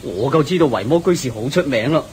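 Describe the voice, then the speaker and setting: An actor with a Hong Kong Cantonese accent playing an eager, curious, easily awed young Buddhist disciple, deferential and full of exclamations in a radio drama. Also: male voice, mid-range voice, animated, fast talker, a little mellow, slight background noise, lightly acted. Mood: eager, admiring.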